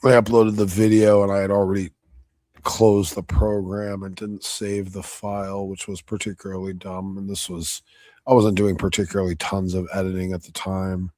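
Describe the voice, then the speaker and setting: A man giving casual livestream commentary on a debate, blunt, combative and profane, his voice slightly hoarse. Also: sits low, little pitch movement, normal speed, darkish tone, dry recording. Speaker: debate reviewer streaming live.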